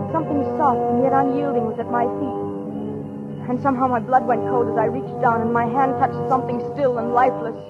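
A woman's voice: fairly high pitch, lightly acted. A woman playing an anxious, earnest daughter who narrates and acts in an old-time radio suspense drama. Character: anxious, soothing unmarried daughter narrating her ordeal. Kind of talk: dramatic first-person radio narration and acting. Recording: dull old radio recording, music behind. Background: music.

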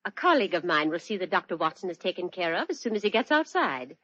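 A woman's voice: high-pitched, very animated, normal speed, a bit bright. An actress playing a society lady who flutters and giggles, then turns into a cold armed villain, in an old radio drama. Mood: menacing. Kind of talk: 1940s radio acting. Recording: dull lo-fi old radio recording.

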